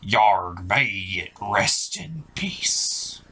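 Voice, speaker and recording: A man's voice: fairly low pitch, animated, extremely slow, over loud music. A man hosting a scripted comedic cartoon review, casual and theatrical in his delivery.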